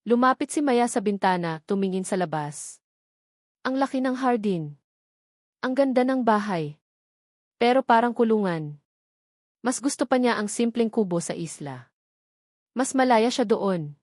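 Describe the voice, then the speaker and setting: Babaeng tagapagsalaysay, tuyo at malapit ang tunog. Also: female voice, fairly high pitch, animated, a little slow, pauses often, a little mellow, dry recording, studio quality. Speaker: narrator. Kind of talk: scripted dramatic story narration.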